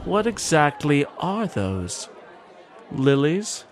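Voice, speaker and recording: Suave, flirtatious society gentleman. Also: on the high side, sing-song, slow, some chatter behind. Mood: teasing, charming. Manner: genteel, teasing. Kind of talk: 1940s radio serial acting.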